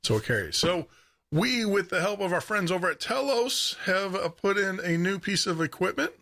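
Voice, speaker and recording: A man with a deep baritone voice hosting a live radio show and podcast from his studio, chatty, laughing often and repeating words as he talks. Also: expressive, normal speed, sharp tone. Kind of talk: casual live radio chat. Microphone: studio microphone with headphones.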